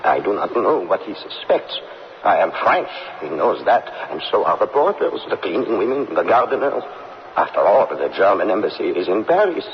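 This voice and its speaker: An actor playing a proud, wry elderly French janitor and informant, speaking formally and deliberately in an old radio drama. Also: male voice, deep voice, very animated. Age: older. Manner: deliberate, formal phrasing, drily opinionated. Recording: muffled old radio recording, faint noise.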